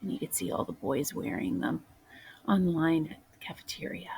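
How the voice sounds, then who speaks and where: A woman reading a children's picture book aloud in a deliberate way, as a teacher does for young listeners. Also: deep voice, a little mellow, a little hiss or hum, low-quality recording. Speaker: storybook reader, teacher.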